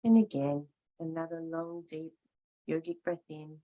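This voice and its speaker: A woman leads a yoga and meditation class in a fairly low voice. She speaks very slowly and calmly, in short measured phrases with room left between them as she gives each instruction. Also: lo-fi sound. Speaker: yoga teacher.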